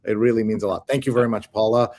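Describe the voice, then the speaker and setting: A man's voice: on the low side, fairly even intonation, very fast, dark tone. A man hosting a livestream in a casual, conversational style. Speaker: show host.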